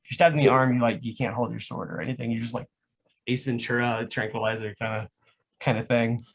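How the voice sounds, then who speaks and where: Male, mid-pitched voice, casual explanatory talk show guest, rambling, peppers speech with "like". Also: fairly even intonation, quick pace, creaky, a little hiss or hum, poor audio. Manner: rambling, says "like" constantly. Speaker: guest, live action roleplay organiser.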